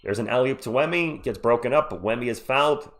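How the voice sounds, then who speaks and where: A man's voice: medium pitch, fast talker, fairly bright tone. A man who is sick with a cold, hosting a casual live play-by-play stream and chatting jokily with viewers. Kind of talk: casual sports commentary and chat with viewers.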